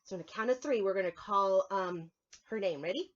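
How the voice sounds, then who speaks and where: A woman's voice: mid-range voice, a little fast, dull audio. A woman preschool teacher giving a lesson to young children, explaining things simply and step by step.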